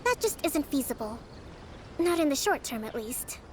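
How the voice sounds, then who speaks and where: A woman voicing a calm young female leader in a video game, measured and diplomatic in negotiation. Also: high-pitched, noisy background.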